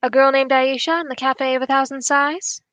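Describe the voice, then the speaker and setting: A woman playing a shrewd, unflappable English gentleman detective in a radio drama, speaking in a measured, politely formal way. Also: high voice, fairly even intonation, no room sound. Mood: amused, firm. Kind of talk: 1940s radio drama acting.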